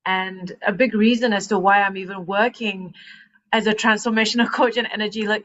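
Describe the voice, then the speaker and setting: A woman in her thirties from Malaysia, a coach telling her story in a casual podcast chat, animated and laughing often. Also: medium pitch, fairly quick. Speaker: guest, transformational coach. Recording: muffled lo-fi sound.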